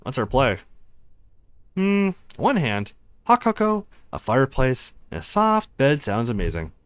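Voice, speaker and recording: Excitable, teasing young skier, read by a man. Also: mid-range voice, measured pace, clear, resonant tone, no room sound. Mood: playful banter.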